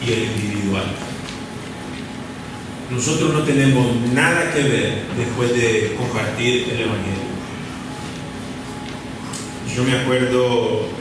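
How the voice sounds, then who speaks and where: A man delivers a sermon at a slow, measured pace, circling back to repeat his key phrases. His voice comes from a distance in a large, echoing church hall. Steady room noise sits underneath. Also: medium pitch. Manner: deliberate, repeats key phrases, short pauses with fillers. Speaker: preacher. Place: church sanctuary. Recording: echoey church hall from far off, with room ambience.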